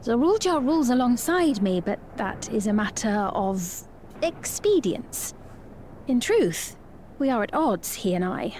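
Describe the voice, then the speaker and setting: An actress playing a gentle, regal young queen in a video game, speaking formally and softly in measured phrases. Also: fairly high pitch, big pitch swings, a little hiss or hum. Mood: earnest. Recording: music behind the voice.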